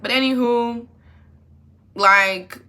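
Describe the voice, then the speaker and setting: A woman with a rather deep voice, chatting sassily through casual film commentary. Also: drawn-out words, bright, forceful tone. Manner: sassy and chatty, says honey and okay.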